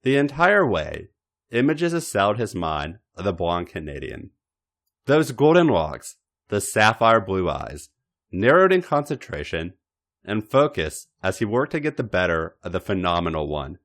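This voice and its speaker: A man reading a story aloud in a dramatic, expressive narrating style, with theatrical emphasis and deliberate pauses. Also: deep voice. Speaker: podcast host reading fan fiction.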